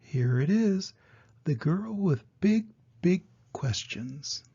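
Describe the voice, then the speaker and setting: An older man, a grandfather with a husky, gravelly voice, reading a children's storybook and gently explaining it to young listeners. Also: high-pitched, expressive, takes it slowly, muffled recording.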